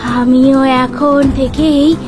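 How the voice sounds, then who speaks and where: Village wife turned eerie ghost female actor, high and unhurried, horror audio drama, music behind. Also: fairly even intonation. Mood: eerie, coaxing. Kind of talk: audio horror story acting. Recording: loud music under the voice.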